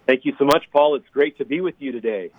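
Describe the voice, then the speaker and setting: A man's voice: mid-range voice, fast talker, dry recording. A middle-aged American man from California, a priest speaking as an interview guest in an earnest, emphatic conversational way over a narrow phone line. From California, United States.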